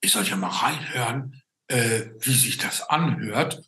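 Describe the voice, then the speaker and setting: A man in his fifties hosting a casual chat, addressing viewers in a halting, hesitant manner over a video call. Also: mid-range voice, pushed and bright. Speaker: interview host. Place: indoors at home, small room. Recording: roomy, echoey video-call sound.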